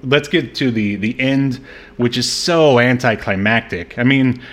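A man's voice: mid-range voice, a little slow, fairly bright tone. A man co-hosting a film podcast, chatting casually in a hesitant, wry, laid-back way. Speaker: podcast co-host. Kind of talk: casual film-review chat.

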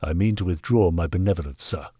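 A man's voice: sits low, fast talker, breathy edge, no room sound, studio quality. A man voicing a haughty, bullying Victorian knight in a storybook reading, pompous and scornful. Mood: cold, scornful. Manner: pompous, forceful, contemptuous. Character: haughty, callous, bullying Victorian gentleman knight, angry benefactor. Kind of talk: dramatised audiobook character reading.

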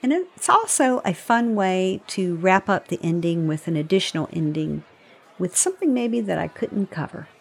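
A woman's voice: lowish voice, very animated, normal speed, gravelly, breathy edge. A woman talking casually and explaining things as a guest on a show. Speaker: guest commentator on the book.

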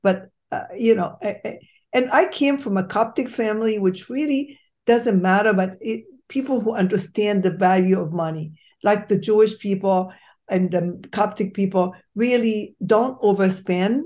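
A woman's voice: mid-range voice, normal speed, mellow. A middle-aged woman from Egypt, a doctor hosting a podcast, telling her life story in an emphatic, enthusiastic way with fillers and restarts. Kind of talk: conversational storytelling interview.

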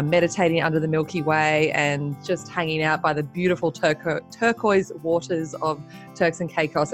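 Low-voiced enthusiastic woman host. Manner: enthusiastic, effusive. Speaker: podcast host. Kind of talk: podcast interview.

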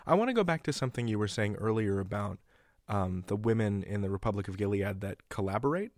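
Male interview host, slightly low register, conversational delivery, dry sound. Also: lively intonation, quick pace, darkish tone, dry recording.

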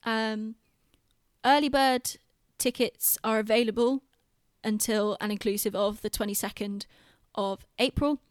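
A woman's voice: fairly high pitch, steady tone, unhurried, no echo. British woman in a dry, close recording. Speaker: podcast host and theatre director. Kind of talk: casual podcast chat.

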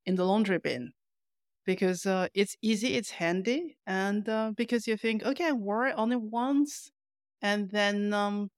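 A woman's voice: medium pitch, clear, resonant tone, dry recording, clean studio sound. A woman co-hosting a podcast in casual conversation, explaining things hesitantly and often repeating words as she goes. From lives in Paris. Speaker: podcast co-host.

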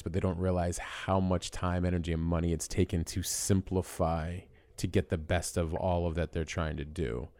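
This is a low-voiced man hosting a casual interview, eager and talkative, winding through his questions with plenty of restarts. His voice sounds close and dry, with no room echo. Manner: enthusiastic, rambling questions with many restarts. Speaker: podcast host.

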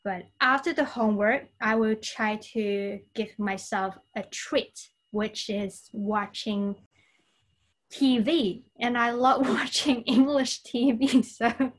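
A woman from China with a Chinese accent and Cantonese as her first language, answering as a podcast guest in a halting, explanatory, conversational way. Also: on the high side, a bit bright.

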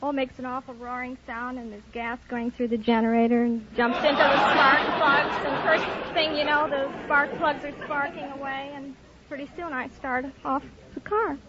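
A woman's voice: high-pitched, fairly even intonation, normal speed, some chatter behind. A woman answering a host's questions as a contestant on an old radio quiz show. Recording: dull, noisy old radio, loud audience. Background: studio audience.

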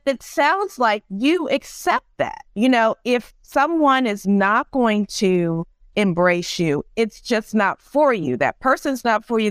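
A woman hosting a casual interview, encouraging her guest and asking long, drawn-out questions. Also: on the high side, smooth, dry recording, studio quality. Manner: warm and encouraging, laughs easily, drawn-out questions. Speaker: podcast host.

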